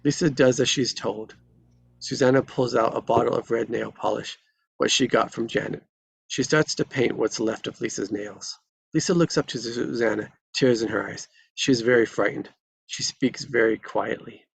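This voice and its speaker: Gravelly, husky man narrating a screenplay. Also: mid-range voice, fairly even intonation, moderate pace, poor audio. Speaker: stage directions reader. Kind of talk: scripted narration at a live table read.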